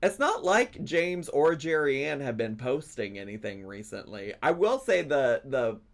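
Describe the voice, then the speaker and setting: A man's voice: mid-range voice, big pitch swings, unhurried, gravelly. A man hosting a gossip commentary show, chatty and sassy, laughing between his remarks. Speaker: commentary channel host. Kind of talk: gossip commentary reacting to clips.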